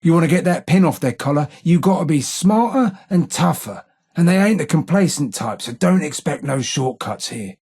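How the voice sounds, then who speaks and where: An actor playing a gruff, streetwise London gang tough in a video game, with a rough gravelly British working-class accent and blunt, slangy, taunting delivery. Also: male voice, on the high side, lively intonation, normal speed, darkish tone. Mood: gruff, confiding. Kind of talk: video game character voice lines.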